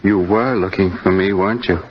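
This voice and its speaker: A cool, taunting American killer played by a man in a radio suspense play. His voice sits low and his delivery is deliberate, with quiet threat. The recording is old and muffled. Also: steady tone, natural voice. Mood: menacing. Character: menacing, coolly taunting male serial killer. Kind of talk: old-time radio suspense drama acting.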